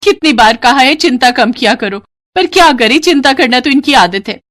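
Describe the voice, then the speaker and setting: A woman's voice: high voice, lively intonation, moderate pace, fairly bright tone. A woman voicing a caring rich man's wife who fondly chides her husband in a cartoon story. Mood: fond, chiding. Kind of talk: Hindi cartoon story acting.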